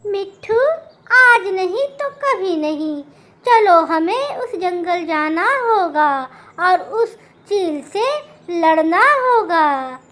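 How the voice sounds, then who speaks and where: Woman voicing a very high, defiant little bird. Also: a bit bright, heavily acted. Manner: earnest, emphatic, declamatory. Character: brave, defiant little bird vowing to fight. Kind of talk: cartoon story character acting.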